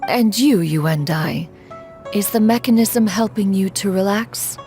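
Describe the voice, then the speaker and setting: A woman's voice: medium pitch, unhurried, warm tone, faint music behind, lightly acted. An actress playing a proud, dignified immortal woman in a video game, speaking formally in composed, lofty and old-fashioned phrasing. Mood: calm. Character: dignified, aloof immortal adeptus woman, proud and reassuring mentor. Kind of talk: scripted video game acting.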